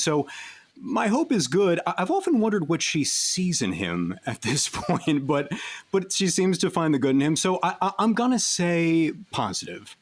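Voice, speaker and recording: A man answering as a guest in a casual interview, thoughtful as he pieces his answers together. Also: a little high.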